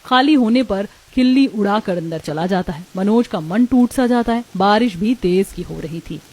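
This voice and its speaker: Mellow woman narrating a story. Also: medium pitch, lively intonation, moderate pace. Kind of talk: scripted cartoon story narration.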